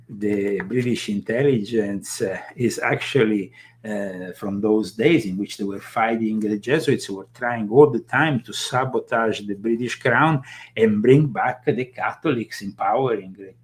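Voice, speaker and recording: A man hosts a live commentary show in a fairly low voice. He talks in a loose, rambling way, full of fillers and restarts. Now and then he picks up into bursts of emphasis. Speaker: host.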